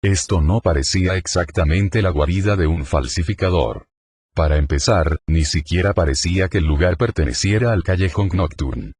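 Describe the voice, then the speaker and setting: A man narrating a fan fiction audiobook in a steady, plain storytelling manner. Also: deep voice, normal speed, a little hiss or hum.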